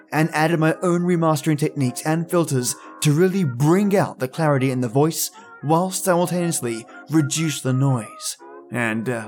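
A man presents a podcast introduction as its host. His voice sits at a medium pitch, with music playing faintly behind him. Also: darkish tone.

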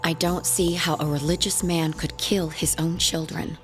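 A woman's voice: sits low, little pitch movement, normal speed, soft background music, close to an everyday voice. An actress playing an agreeable married woman on a ghost-hunting team in a radio horror drama. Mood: disturbed.

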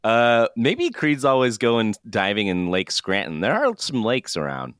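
A man speaks at a very leisurely pace with a clean, smooth voice. He is a podcast co-host chatting casually and haltingly, with jokes thrown in, in a very dry recording. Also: mid-range voice, wildly swooping pitch, fairly bright tone, dry recording. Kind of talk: casual chat.

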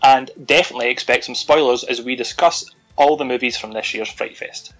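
Rambling podcast co-host, laughing. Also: male voice, mid-range voice, brisk, fairly bright tone, soft background music, rough recording. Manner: rambling, self-deprecating, laughs often. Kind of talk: casual chat.

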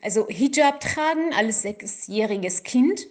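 Slow, hesitant woman. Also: on the high side, animated. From Iran. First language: Kurdish. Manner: hesitant, searching for words, frequent fillers. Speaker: interviewee, activist. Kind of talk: interview answers.

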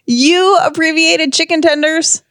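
A woman's voice: extremely high pitch, fairly even intonation, unhurried, a bit bright, no echo, studio quality. A woman co-hosting a podcast in a casual, chatty style. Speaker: podcast co-host.

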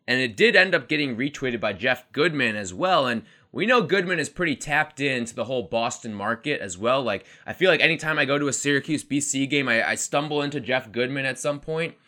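A man's voice: mid-range voice, expressive, brisk, few pauses, bright, forceful tone. A man in his twenties co-hosting a casual sports podcast, chatty and rambling, laughing at himself. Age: 20s. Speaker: podcast co-host.

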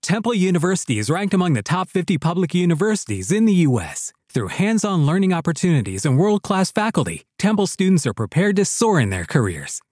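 Animated woman reading an advert. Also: on the low side, a little fast, hardly any pauses, dry recording, soft background music. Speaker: advertisement announcer. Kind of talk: scripted promotional read.

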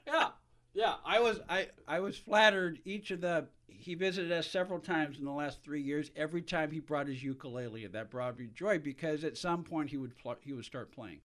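Higher-voiced male radio host. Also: normal speed, a bit bright. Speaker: radio talk show host. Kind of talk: conversational radio hosting.

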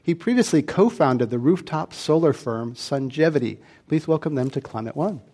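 A man hosting a live panel discussion, reading clear, measured introductions before an audience. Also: on the high side, expressive, mellow. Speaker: host.

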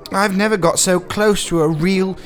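A man's voice: high-pitched, steady tone, moderate pace, pitched above the performer's own voice, close to an everyday voice. A man voicing an awkward, earnest young lord. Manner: self-pitying, earnest.

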